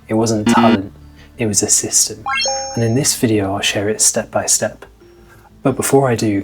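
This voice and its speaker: A man in his twenties, a language-learning vlogger, talking in an upbeat, motivational style. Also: on the low side. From Britain. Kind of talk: scripted vlog narration.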